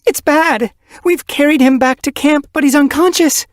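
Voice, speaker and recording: A man's voice: extremely high pitch, moderate pace, no room sound, clean studio sound, voice pushed up. A man voicing a frantic tortoiseshell she-cat who speaks in panicked urgency.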